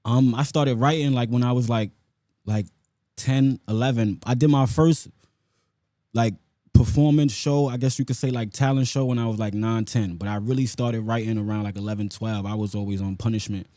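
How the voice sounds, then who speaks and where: A man's voice: medium pitch, steady tone, normal speed, warm tone, no echo, clean studio sound. A man with a New York accent talking casually in an interview as a rapper, using street slang and frequent check-in tags. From South Bronx, New York. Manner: street slang with frequent "you know what I'm saying" tags.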